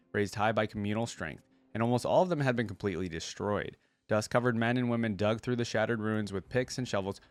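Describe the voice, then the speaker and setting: A man hosting a reaction video, reading fantasy lore aloud and adding casual commentary. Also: on the low side.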